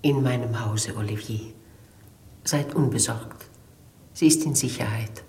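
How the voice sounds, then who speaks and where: An older actress playing a dignified, kindly, resolute noblewoman, earnest and deliberate, in a 1950s radio play. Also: rumbling low, a little mellow. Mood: gentle, reassuring.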